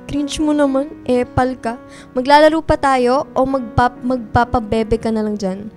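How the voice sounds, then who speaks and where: A woman's voice: sits high, fairly even intonation, measured pace, darkish tone, faint music behind. A woman playing a sulky, teasing college-age best friend in a radio drama, with blunt slangy banter and a guarded streak. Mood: teasing, irritated.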